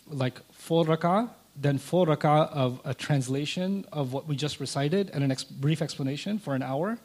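A man whose first language is Urdu, a guest telling a personal story and acting out past conversations. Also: medium pitch. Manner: animated, acts out past conversations. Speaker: interview guest.

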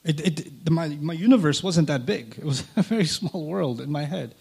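Man, Urdu first language, interview guest, animated storyteller, raspy tone. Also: fairly high pitch, moderate pace. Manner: animated, acts out past conversations. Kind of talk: personal storytelling.

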